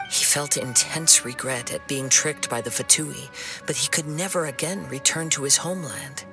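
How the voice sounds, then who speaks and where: A man playing a calm young wandering swordsman in a video game, speaking in a measured, formal, solemn and compassionate way. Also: medium pitch, close to an everyday voice.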